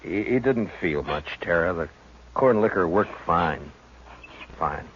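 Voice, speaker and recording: An American man playing a stoic Old West marshal, firm but gentle, in a measured, weary 1950s radio western style. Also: low-pitched, animated. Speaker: lead character and narrator. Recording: muffled, noisy old radio recording.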